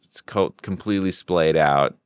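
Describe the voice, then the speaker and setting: A man's voice: sits low, normal speed, clean tone, dry recording, studio quality. A man co-hosting a podcast, casually describing comic-book scenes panel by panel in conversation. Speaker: podcast co-host.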